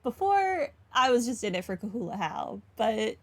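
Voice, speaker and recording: A woman's voice: on the high side, sing-song, moderate pace. A woman giving casual, wry commentary on a video game playthrough, thinking aloud as she goes. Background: game music.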